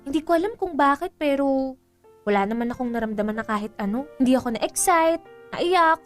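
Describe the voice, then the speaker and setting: A high-voiced, pouty teenage girl. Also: lively intonation, brisk. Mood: doubting, confiding. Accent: Filipino. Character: doubting, pouty teenage girl confiding in her friend. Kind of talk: Filipino radio drama acting. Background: background music.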